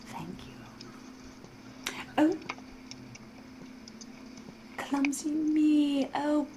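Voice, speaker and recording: Slow, whispery vampire seductress played by a woman. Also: high-pitched, little pitch movement, noisy background. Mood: hungry, seductive, then falsely apologetic. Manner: hushed, theatrical, drawn-out delivery slipping into breathy whispers. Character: flirtatious, menacing female vampire, refined and predatory. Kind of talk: ASMR roleplay, scripted character monologue.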